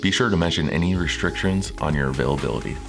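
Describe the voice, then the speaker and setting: Male narrator, extremely low voice, scripted coaching-video read, measured and formal, clear music bed. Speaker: presenter of interview coaching videos.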